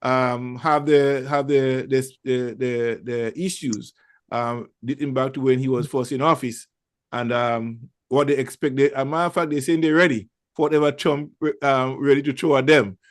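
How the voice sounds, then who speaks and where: A man's voice: mid-range voice, steady tone, normal speed, dry recording. Male talk radio host, chatty and rambling. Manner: rambling, many fillers and restarts. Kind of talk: casual talk show hosting. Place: lives in Toronto.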